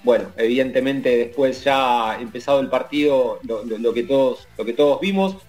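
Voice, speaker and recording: A man's voice: medium pitch, moderate pace, a little mellow, soft background music. A man with an Argentine accent recounting a sports story in casual chat, stammering at times. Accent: Argentine Spanish. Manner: narrating events, stammers at times.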